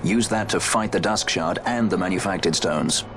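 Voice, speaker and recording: An actor playing a suave, sceptical airship rogue in a video game, speaking in a dry, sardonic, urbane way. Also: male voice, deep voice, moderate pace, over music. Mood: cool, sceptical. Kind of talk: dramatic video game cutscene acting. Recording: game score behind dialogue.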